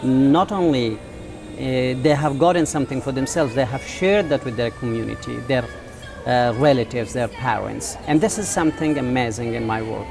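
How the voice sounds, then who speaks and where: A man in his forties with an Afghan accent, a teacher and school founder, answering interview questions in an earnest, halting way with many drawn-out fillers. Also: mid-range voice, vocal fry, over music. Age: 40s.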